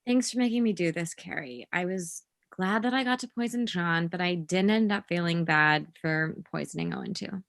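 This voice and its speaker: A woman acting as a dry, reluctant young woman in a comedy table read, delivered deadpan. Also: on the low side, moderate pace, vocal fry, few pauses.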